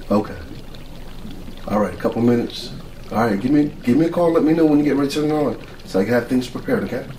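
A man's voice: fairly high pitch, dark tone, lightly acted. A middle-aged man playing an earnest, easily flustered military mad scientist in an ASMR roleplay, rambling, muttering and repeating his words. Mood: agitated, relieved. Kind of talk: ASMR roleplay, improvised monologue. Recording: roomy, distant mic with clear noise.

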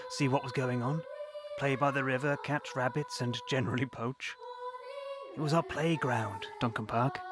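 Here is an older man narrating in a measured, reminiscent way. His pitch is ordinary, and clear music plays behind him. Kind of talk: scripted narration.

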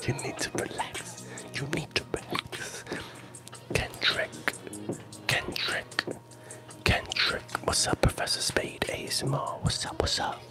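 Whispering, slow-paced ASMR man. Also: music in the background. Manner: slow, repeats words and phrases, playful. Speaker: ASMR streamer, host. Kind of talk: ASMR live stream, whispered trigger words and casual chat. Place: small room at home with gaming screen. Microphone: close handheld recorder on stand with foam windscreen.

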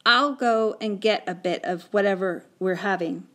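A woman's voice: medium pitch, moderate pace, fairly bright tone, close to an everyday voice. A woman voicing an anxious, earnest young boy in an audiobook, with an urgent, insistent manner. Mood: soothing. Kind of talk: acted audiobook dialogue.

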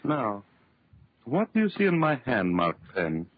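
An actor playing a megalomaniac villain doctor in a 1930s radio horror drama, theatrical, commanding and boastful. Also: male voice, mid-range voice, huge pitch swings, a little slow, dry recording. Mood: sinister, commanding. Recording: muffled old 1930s radio recording.

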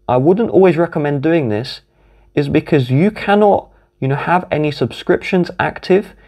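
A man's voice: on the high side, a little mellow. British man walking through phone steps. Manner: instructive, methodical, walks through each tap. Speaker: tech tutorial host. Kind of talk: step-by-step phone tutorial voice-over.

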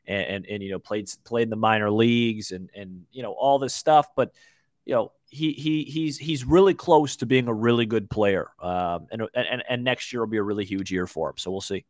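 A young man co-hosting a sports podcast in casual chat, halting and repeating words as he thinks. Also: fairly low pitch, dry recording, studio quality. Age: young adult. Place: small indoor room on a video call. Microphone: close podcast microphone with headphones.

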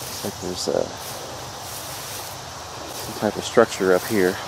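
A man with a Kentucky accent narrating his exploration slowly and hesitantly, recorded on a handheld camera outdoors in overgrown fields. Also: deep voice, steady tone. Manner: slow, hesitant, with fillers. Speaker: urban explorer vlogger. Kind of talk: casual vlog narration. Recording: outdoor camera audio with clear background noise.

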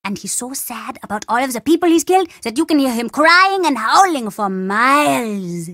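Mischievous little boy for a video game: chatty, cheeky, animated delivery, dry close sound. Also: high-pitched, moderate pace, dry recording. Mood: storytelling. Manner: cheeky, dramatic, childlike bluster. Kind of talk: video game character dialogue.

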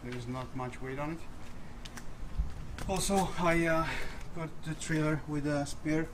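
A man giving an unscripted casual walkthrough as a vlogger, halting and hesitant, often pausing mid-sentence. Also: a little high. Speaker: vlogger showing his gear. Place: outdoors in a residential driveway. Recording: clear outdoor ambient noise.

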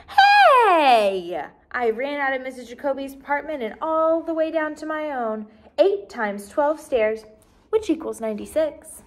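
A young woman narrates a children's chapter book for first graders. Her voice sits a little high, and she tells the story expressively at a measured, easy-to-follow rhythm. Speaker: teacher reading aloud to first graders. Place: small decorated indoor room.

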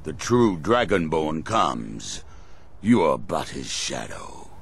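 Gravelly raspy cult zealot from a video game, pompous and menacing, swings his tone around. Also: extremely low pitch, expressive, full character voice. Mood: menacing, solemn. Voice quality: gravelly, husky. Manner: slow, solemn, pompous. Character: fanatical male cultist, menacing and accusing. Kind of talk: video game character dialogue.

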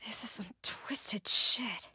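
Wary young woman, game heroine talking to herself: breathy, unhurried, sardonic. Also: a little high, no room sound, whispered, full character voice. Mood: uneasy, sardonic. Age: young adult. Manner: muttering to herself, hushed, breathy. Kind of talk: video game voice acting.

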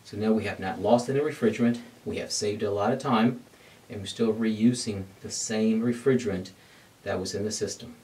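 A middle-aged man teaching an HVAC lesson at a glass board in a dark studio, explaining each step and answering his own questions. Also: on the low side, distant mic. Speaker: HVAC instructor. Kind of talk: whiteboard technical lesson.

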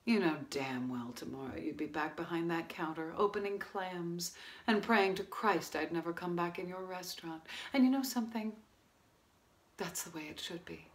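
A woman acting a worldly, blunt, cynical seductress in a stage monologue, speaking deliberately and quietly, often near a whisper. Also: expressive. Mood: scornful, sensual. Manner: low-key, deliberate, cutting, often breathy near-whisper.

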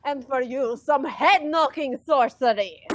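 Woman putting on a scheming high-voiced duck sorceress. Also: a little slow, dry recording. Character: scheming female duck sorceress, villainous and boastful. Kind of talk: comic dub live reading.

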